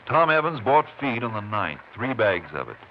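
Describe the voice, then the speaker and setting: A steady American Texas Ranger in a 1950s radio western. His voice sits fairly low and moves with great animation, in folksy, clipped phrases. The old recording is muffled. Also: moderate pace, a little hiss or hum. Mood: businesslike.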